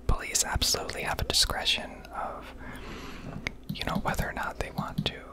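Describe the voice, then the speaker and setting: An American woman whispering a casual, rambling ASMR commentary over gameplay, swearing freely. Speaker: video creator narrating gameplay.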